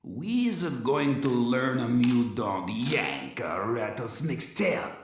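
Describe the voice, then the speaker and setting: A man voicing a hot-tempered, boastful outlaw boss in an animated game, with a theatrical American Western drawl. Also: medium pitch, slow, noisy background. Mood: gloating menace. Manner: theatrical, folksy, swinging between menace and glee. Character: blustering Wild West outlaw crime boss, male, hot-tempered braggart. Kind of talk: animated video game villain acting. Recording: echoey, with loud music behind.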